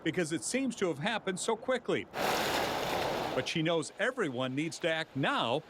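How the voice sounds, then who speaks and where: Higher-pitched American newsman, noisy backdrop. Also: very animated, moderate pace. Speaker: TV news reporter and anchor. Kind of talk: scripted news narration.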